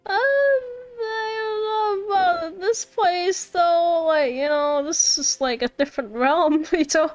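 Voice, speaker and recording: A woman streams a game with casual, playful commentary. Her voice is high and unhurried, with faint music underneath. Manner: playful, echoes character lines.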